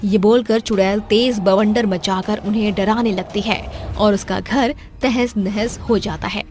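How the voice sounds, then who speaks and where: A woman's voice: mid-range voice, fairly even intonation, unhurried, a little mellow, soft background music. A woman narrating a scripted horror story in a dramatic storytelling manner.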